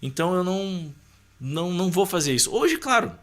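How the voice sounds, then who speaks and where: An adult man with a medium-pitched voice. He talks casually, like a podcast guest recounting his own life. He often acts out bits of remembered dialogue and drops in small tag questions. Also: very animated, a little fast, a bit bright. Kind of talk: casual personal storytelling.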